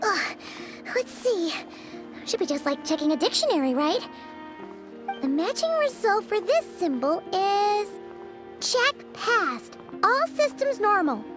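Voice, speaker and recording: Tiny childlike game sidekick, very high squeaky voice, swooping intonation, chatty, grumbly, excitable. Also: female voice, slow, over music, exaggerated voice. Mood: grumbling. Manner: third-person self-reference, exclamatory. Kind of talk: animated video game voice acting.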